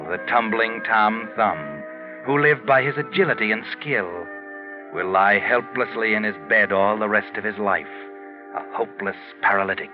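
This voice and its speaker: An actor playing a sardonic, ominous American mystery storyteller on a 1940s radio show, mocking and deliberate. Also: male voice, lowish voice. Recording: muffled 1940s radio recording with music. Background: music.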